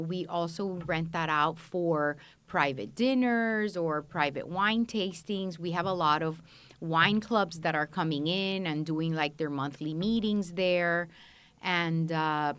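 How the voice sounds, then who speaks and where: A woman's voice: fairly low pitch, a little slow, fairly bright tone, clear, resonant tone. A middle-aged woman from Colombia answering conversationally as a guest in a studio podcast interview. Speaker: podcast guest, wine shop and restaurant owner.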